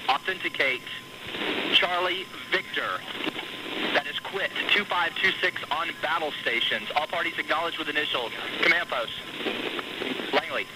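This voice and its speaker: A man working as a military radio operator, making measured, formal procedural announcements. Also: on the high side. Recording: archival radio with loud background noise.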